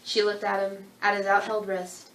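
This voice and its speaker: A woman's voice: medium pitch, monotone, moderate pace, a bit bright. A woman reading scripted literary narration as the narrator of a dramatic story. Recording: roomy sound with faint background noise.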